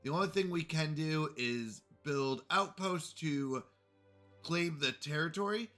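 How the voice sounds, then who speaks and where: A man with a bright, forward voice talks casually through a live game session, sounding a little congested. He chats with viewers between moves, with faint music under him. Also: on the high side, measured pace. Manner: chatty, greets chat viewers. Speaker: streamer.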